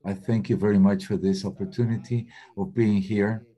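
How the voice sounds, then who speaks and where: A man giving a simultaneous interpretation of webinar talks, speaking in a steady, measured way with occasional hesitations. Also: fairly low pitch, husky, dull audio. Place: remote webinar.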